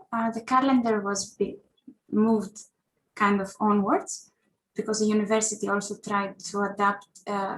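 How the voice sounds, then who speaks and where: A woman's voice: medium pitch, rough recording. A young woman, an international student on a panel, giving an earnest, formally worded prepared talk. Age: young adult. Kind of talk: prepared testimonial presentation.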